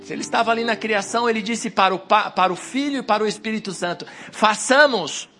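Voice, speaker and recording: Hoarse guy preaching into a handheld mic, kinda high voice, soft music underneath. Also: quick pace. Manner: exhorting the congregation, rising to emphatic peaks. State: hoarse with a cold. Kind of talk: sermon. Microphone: wireless handheld mic.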